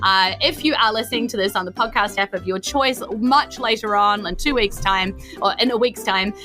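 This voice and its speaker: Bright-voiced New Zealand woman running a game. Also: medium pitch, normal speed, faint music behind. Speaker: game master and podcast host. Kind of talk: improvised tabletop game narration and live-stream hosting.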